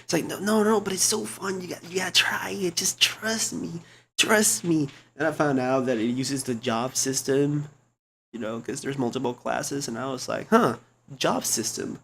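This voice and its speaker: A young man with a low, gravelly voice rambles in casual slang, stumbling over his words and starting sentences again. He talks close to a desk mic at home, and a faint hiss sits under his voice. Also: normal speed, a bit bright. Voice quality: low speaking voice. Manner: rambling, slangy, many restarts and fillers. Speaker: streamer. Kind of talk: casual chat. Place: home computer room.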